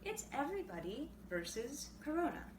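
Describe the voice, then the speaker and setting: A woman's voice: high voice, very animated, moderate pace, voice pushed up. A woman voicing a grumpy, exasperated older brother, irritated and emphatic, in a storybook reading. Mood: fed up. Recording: roomy, distant mic, clearly noisy background.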